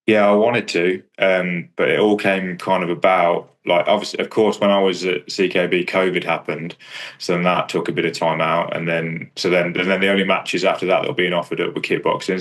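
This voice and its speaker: A man, chatting casually in a rambling, understated, self-deprecating way as a fighter and coach. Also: fairly bright tone. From England. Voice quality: deep voice. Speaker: kickboxer and striking coach. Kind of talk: casual interview chat about own career.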